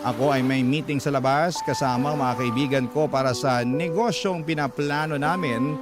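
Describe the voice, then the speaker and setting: A man's voice: mid-range voice, normal speed. A man with a Filipino accent narrating a letter as a radio host, in a dramatic scripted storytelling style. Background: prominent music bed.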